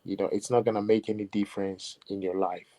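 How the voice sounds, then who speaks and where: A man being interviewed as a musician, talking in a measured, reflective way with many "you know" asides. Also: lowish voice, little pitch movement, mellow. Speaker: musician guest. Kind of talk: interview conversation.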